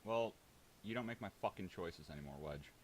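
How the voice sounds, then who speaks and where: A man's voice: low-pitched, animated, brisk, a little hiss or hum. A man arguing confrontationally and swearing often in a heated group conversation. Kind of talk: heated group voice call argument.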